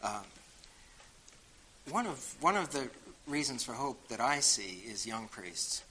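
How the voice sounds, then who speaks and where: An older man with a Boston accent talks frankly and with dry wit, filling pauses with "uh" and "you know." His voice is bright and forceful. A faint hiss sits under the recording. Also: mid-range voice, very animated. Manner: frank, wry, many fillers. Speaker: guest speaker answering audience questions. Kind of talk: casual spoken reply.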